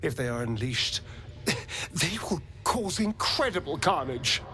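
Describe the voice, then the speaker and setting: Haunted flippant vampire man, theatrical game acting, kinda high voice, swings all over. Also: sing-song, slow pace, pushed and bright, faint music behind, heavily acted. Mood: grim resolve. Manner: theatrical, hesitant, breaking into nervous laughs. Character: conflicted, flippant male vampire spawn, haunted by his past. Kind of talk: dramatic video game acting.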